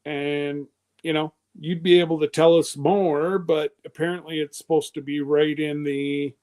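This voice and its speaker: A man's voice: a little high, little pitch movement, measured pace, darkish tone. A man in his fifties hosting a live stream, chatting casually in a loose, rambling way. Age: 50s.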